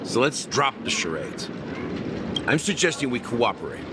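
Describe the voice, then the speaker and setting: An actor playing a sly, easygoing schemer in a game, teasing, nonchalant and persuasive. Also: male voice, medium pitch, big pitch swings, normal speed, very noisy, lightly acted. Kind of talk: scripted game story acting.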